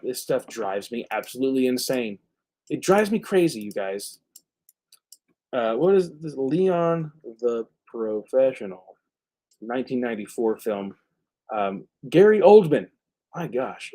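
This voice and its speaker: A man hosting a live stream, chatting casually and rambling, often halting as he searches for words. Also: mid-range voice, mellow. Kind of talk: casual rambling movie chat.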